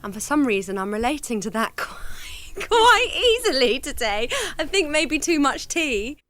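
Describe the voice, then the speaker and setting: A woman's voice: sits high, sing-song, measured pace, a bit bright, no echo. A woman giving casual commentary in a playful, self-mocking manner.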